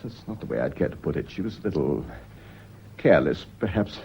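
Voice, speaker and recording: An actor playing an indignant, evasive British gentleman under suspicion in a radio mystery play, genteel and hesitant in his speech. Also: low voice, animated, fairly quick, vocal fry. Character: indignant, evasive gentleman husband suspected of poisoning his wife. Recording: dull radio drama recording, audible noise.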